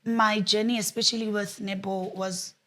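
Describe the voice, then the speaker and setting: Young South African woman, mid-pitched, relaxed and easygoing. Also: normal speed. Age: young adult. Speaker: netball player. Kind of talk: casual interview answers. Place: studio.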